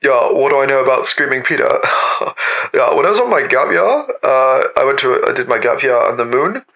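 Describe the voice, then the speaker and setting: A man playing a spaced-out, disgusted young gap-year traveller in a mock interview, rambling and deadpan. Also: medium pitch, a little fast, vocal fry, lo-fi sound, pitched above the performer's own voice, full character voice. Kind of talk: mock documentary interview, comic improvised monologue.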